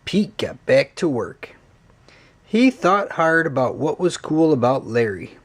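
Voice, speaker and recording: A man narrating a children's read-along story in an expressive, swooping storytelling manner. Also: a little high, slow.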